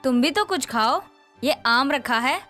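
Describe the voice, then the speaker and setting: A woman acts a doting middle-aged mother in a moral story. Her voice is bright and slightly high, hospitable and coaxing, over soft music. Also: lively intonation, a little slow, exaggerated voice. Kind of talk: animated moral-story dialogue.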